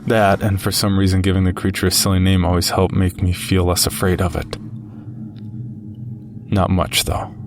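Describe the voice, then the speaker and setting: A man playing a narrator who recounts a frightening encounter with a monster in measured, grave, scripted first-person storytelling. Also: low-pitched, completely flat delivery, background noise. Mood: grave, uneasy. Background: music.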